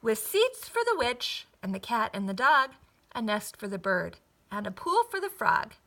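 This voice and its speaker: A woman narrating a children's story expressively, with a deliberate, rhythmic rhyming delivery. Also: fairly high pitch, very animated, fairly bright tone. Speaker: storybook reader.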